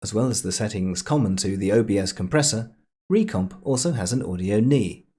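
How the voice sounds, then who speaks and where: A man presenting a casual software tutorial in a playful, chatty way with jokey asides. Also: on the low side, animated, brisk, husky.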